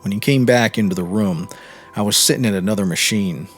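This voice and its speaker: A man narrating a literary short story in a measured, expressive storytelling manner. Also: on the low side. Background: music.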